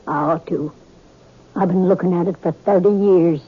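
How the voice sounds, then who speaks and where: An actress playing a shrewd, knowing old frontier woman with a husky voice and an amused drawl, in a 1950s radio drama. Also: on the low side, steady tone, normal speed. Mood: wry. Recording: tinny old radio transcription.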